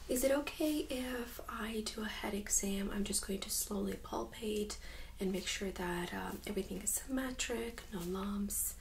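A young woman speaking in a hushed, gentle, often whispery way, playing a medical examiner in a soft-spoken ASMR role-play. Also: medium pitch, normal speed, gravelly, a little hiss or hum. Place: small indoor room set up as exam room.

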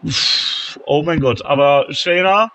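A man with a cold, commentating a video game wrestling tournament, shouting at the big moments and calmer between them. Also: slow pace, dry recording. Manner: shouts and gets high-pitched at big moments, calmer when tallying scores. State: has a cold, slightly hoarse. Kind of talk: excited play-by-play commentary.

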